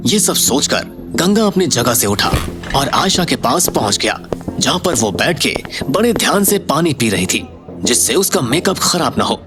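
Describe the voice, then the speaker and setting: A man delivers dramatic scripted narration for an audio story. His mid-pitched voice moves in a lively way, with faint music underneath. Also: normal speed.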